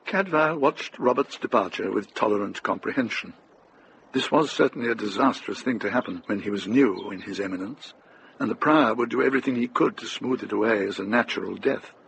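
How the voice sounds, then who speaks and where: An actor narrating as a grave, measured storyteller in a scripted radio drama. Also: male voice, on the low side, gravelly, fairly bright tone, slight background noise, low-quality recording. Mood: measured, dry.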